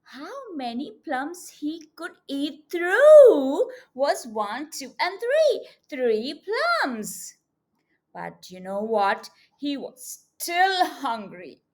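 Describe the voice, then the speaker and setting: A woman reading a picture book aloud to young children as a teacher, expressive and coaxing, with questions to the listeners and deliberate emphasis on words. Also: high-pitched.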